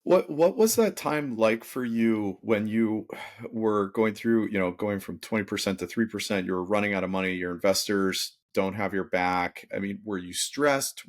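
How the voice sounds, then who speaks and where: Deep voiced guy hosting a podcast, asking stuff in a laid back chatty way. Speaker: interviewer, podcast host. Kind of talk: casual conversational interviewing.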